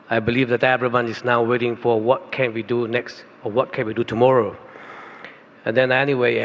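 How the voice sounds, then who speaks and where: A man's voice: mid-range voice, slight background noise. A man from Thailand with a Thai accent, speaking English as a second language and giving an earnest conference keynote speech that builds to emphatic stress. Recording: reverberant hall, dull lo-fi sound.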